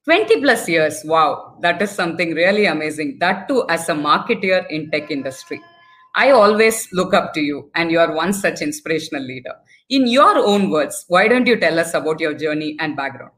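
A woman's voice: low voice, expressive, moderate pace, a bit bright. A woman hosting a podcast interview, introducing and praising her guest in a formal, effusive way. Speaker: podcast host.

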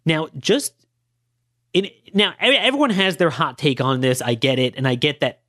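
Hoarse man in a dry, close monologue. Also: a little high, normal speed, a bit bright, dry recording. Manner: emphatic, argumentative, rhetorical questions. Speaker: political podcast host. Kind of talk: opinionated political monologue.

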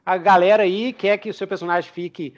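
A man's voice: sits high, a little fast. A man with a Brazilian Portuguese accent hosting a casual podcast chat, telling stories and repeating phrases as he goes. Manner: animated storyteller, repeats phrases, slips into character voices. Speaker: podcast host. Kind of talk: casual chat with RPG tips.

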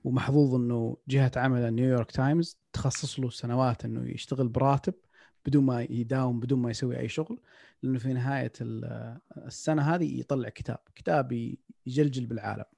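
Gravelly fast-talking man. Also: mid-range voice, fairly even intonation, dark tone, no echo. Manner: reflective, mixes in English phrases, many restarts. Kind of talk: casual podcast conversation.

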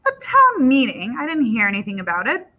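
Woman as a high-voiced, anxious, protective storybook mother. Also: lively intonation, moderate pace, dull audio. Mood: disapproving. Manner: emotional, insistent. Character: worried, grieving, fiercely protective mother of a teenage boy.

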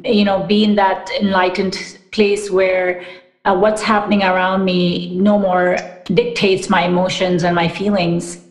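Chatty woman in an echoey room. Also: fairly low pitch. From Pakistan. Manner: upbeat, chatty, many fillers like "like" and "you know". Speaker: podcast guest, wellness business founder. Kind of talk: casual interview chat.

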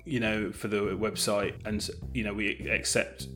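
A man's voice: fairly low pitch, little pitch movement, moderate pace, a bit bright, soft background music. A man chatting casually as an interview guest, speaking in a hesitant, self-effacing way with trailing phrases. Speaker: guest, audio drama director and producer.

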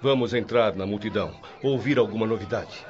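An actor playing a wise, calm male spirit mentor in a radio drama, speaking gravely. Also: fairly low pitch, brisk, close to an everyday voice. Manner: grave, explanatory. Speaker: spiritual guide character. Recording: dull lo-fi radio recording with music.

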